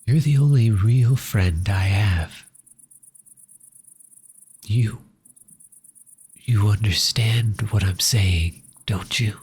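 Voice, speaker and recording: Breathy, low-voiced man acting a grieving lonely orphan. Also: darkish tone, exaggerated voice. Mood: soft, worried affection. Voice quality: breathy, often dropping into whisper. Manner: slow, halting, intimate. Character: grieving, tender lonely orphan comforting a sick pet cat. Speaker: voice actor in audio roleplay. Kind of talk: soft ASMR roleplay acting.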